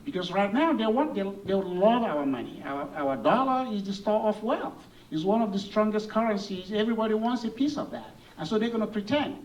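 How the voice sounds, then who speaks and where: A man who is a congressional candidate, answering at a forum in a folksy way with rhetorical questions, clearing his throat now and then. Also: sits high, expressive, a little fast, few pauses, darkish tone. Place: event hall. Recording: distant mic with faint room noise.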